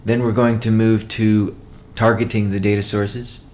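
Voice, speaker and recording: A man presenting a company update as a chief executive, in a measured, matter-of-fact way with halting phrasing. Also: fairly low pitch, level tone, darkish tone, slight background noise. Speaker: company CEO hosting a weekly call. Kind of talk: business update presentation, partly read from notes.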